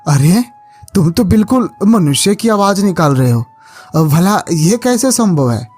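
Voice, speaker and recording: A man's voice: sits high, sing-song, unhurried, a little mellow, breathy edge, soft background music, full character voice. A man voicing a kind-hearted, caring village farmer in a cartoon story, earnest and exclamatory. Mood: amazed. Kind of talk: Hindi cartoon moral story acting.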